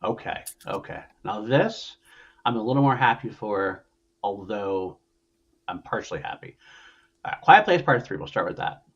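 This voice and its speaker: A man with a fairly low, husky voice hosts a film podcast. He rambles emphatically and swears freely as he gives his opinions. The audio is a little dull and muffled. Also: animated, moderate pace. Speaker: podcast host. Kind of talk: casual opinionated film discussion.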